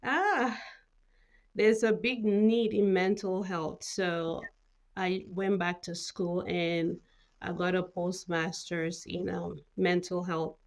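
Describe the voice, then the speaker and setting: A woman's voice: lowish voice, steady tone, slow. A middle-aged woman from Cameroon, talking informally as an interview guest with plenty of conversational filler phrases. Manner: informal, peppers talk with "you know". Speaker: guest, mental health nurse practitioner.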